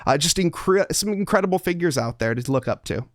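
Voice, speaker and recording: A man's voice: on the high side, lively intonation, fairly quick, no room sound, clean studio sound. A man who works as a sim racing commentator, talking as an upbeat guest in a casual podcast interview.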